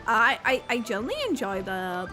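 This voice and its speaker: A woman game streamer giving casual, playful and expressive reaction commentary with halting asides. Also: fairly high pitch. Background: soft game music.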